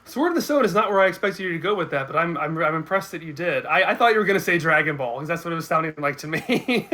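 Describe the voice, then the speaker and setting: A man with a bright, forward voice pitched a bit high talks casually as a guest. He explains things like a well-versed fan, often starting his sentences haltingly. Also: lively intonation. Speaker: guest co-host. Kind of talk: casual chat about games.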